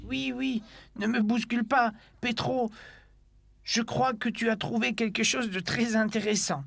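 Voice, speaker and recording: A grumpy old grandfather from an animated film, voiced by an actor. He grumbles and ponders hesitantly, with absent-minded pauses. Music plays under the voice. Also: medium pitch, vocal fry, no echo. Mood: intrigued, explaining. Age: older. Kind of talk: French cartoon dubbing.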